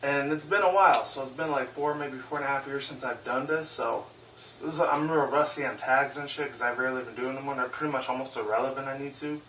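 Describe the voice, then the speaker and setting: A man's voice: medium pitch, steady tone, quick pace, bright, forceful tone. A young man in his twenties who rambles through a casual vlog, swearing a lot and leaning on fillers. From Puerto Rican and Mexican heritage. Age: 20s. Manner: rambling, profane, filler-heavy. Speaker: YouTuber. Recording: roomy echo, mic at a distance, faint hiss.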